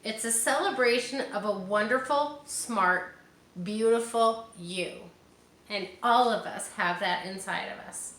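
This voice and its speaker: A woman's voice: fairly high pitch, measured pace, a bit bright. A woman reading a picture book aloud to children, speaking to them directly and encouragingly with casual asides. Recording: roomy echo, faint background noise.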